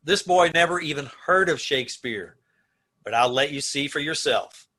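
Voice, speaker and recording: Bright, husky-voiced frank prison warden acted by a man. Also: on the high side, moderate pace. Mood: firm, obliging. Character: frank, doubtful but obliging male prison warden. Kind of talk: amateur old-time radio play acting.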